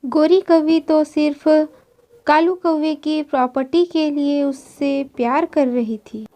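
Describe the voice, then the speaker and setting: A woman narrator reads a scripted children's story. Her voice is high and girlish, and her delivery is markedly slow. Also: steady tone, warm tone.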